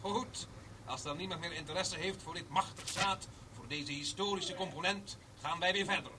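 An actor playing a brisk tour guide in a radio play, addressing visitors in formal announcing patter. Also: male voice, high-pitched, natural voice. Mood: official, showman-like. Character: brisk male tour guide showing visitors a strange net. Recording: distant, noisy old radio recording.

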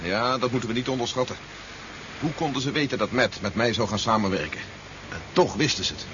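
An actor playing a brisk, fatherly army general in a Dutch science fiction radio drama, authoritative and measured. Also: medium pitch, fairly bright tone, lightly acted. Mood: grave, businesslike. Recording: dull, noisy 1970s radio recording.

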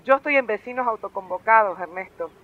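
An actress playing an earnest, determined activist in a radio drama, with an Argentine accent and emphatic delivery. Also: female voice, medium pitch, lively intonation, fast, lo-fi sound, lightly acted. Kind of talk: radio theatre acting.

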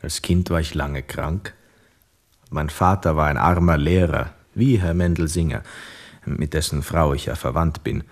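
A man acting in a German radio play as a sober, modest musician who tells his story in a measured, understated way. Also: a bass voice, heavily acted. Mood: calm, reflective. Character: sober, modest male musician and bandleader, long-lost son.

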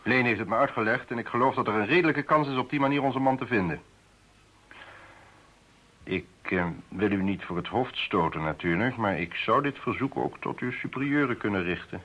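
An actor playing a polite but firm official with authority in a radio play, courteous in manner. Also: male voice, mid-range voice, animated, a little fast, a bit bright. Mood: firm, diplomatic. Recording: dull lo-fi radio drama recording.

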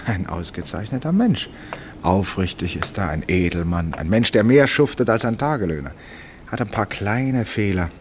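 Radio drama: eccentric, certain, drily ironic gentleman played by a male actor, low register, articulate, faint noise behind. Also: animated, normal speed, natural voice. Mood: wry explaining.

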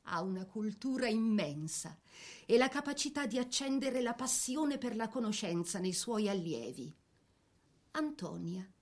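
A woman reading a scripted biographical narration for a dramatic piece, with measured, theatrical phrasing. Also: mid-range voice, darkish tone.

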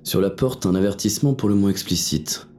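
Over a music bed comes a very low voice: a hard-boiled, cynical fugitive acted by a man in a noir audio drama. He tells his own story in French-accented, crude and terse slang, like a wounded gunman on the run. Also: brisk, darkish tone, natural voice. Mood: grim, cynical. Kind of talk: first-person noir audio fiction narration.

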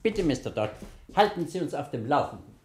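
An actor playing a dignified, reassuring middle-aged judge in a 1960 German radio crime drama, measured, paternal and self-assured. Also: male voice, on the high side, big pitch swings, a little hiss or hum. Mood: confident, amused. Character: dignified, reassuring senior male judge and uncle.

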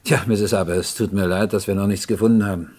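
An actor playing a polite, probing police inspector with a hesitant, courteous way of questioning in a German radio crime play. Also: male voice, fairly low pitch, steady tone, quick pace, a little mellow, close to an everyday voice. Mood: polite, measured.

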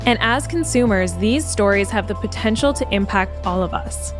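A woman's voice: medium pitch, moderate pace, over music. A woman co-host reading a scripted podcast promo.

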